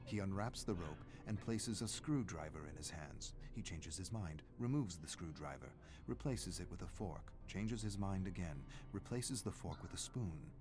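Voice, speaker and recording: A man playing a brooding, menacing narrator who tells a dark horror game story in a deliberate, dramatic way. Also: low-pitched, animated. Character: brooding, menacing male narrator of a sadistic doctor's thoughts. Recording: music playing behind the voice.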